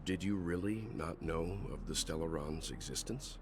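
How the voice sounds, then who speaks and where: Actor as very deep, grave gentleman. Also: background noise, lightly acted. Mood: grave, courteous. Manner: formal, measured, direct. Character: grave, courteous, mature male crew member. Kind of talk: dramatic video game dialogue.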